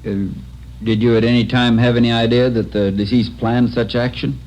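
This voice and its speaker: An actor playing a dry, official coroner questioning in a formal, impersonal way in a 1950s radio drama. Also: male voice, medium pitch, level tone, moderate pace, darkish tone, natural voice. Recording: muffled, noisy old radio recording.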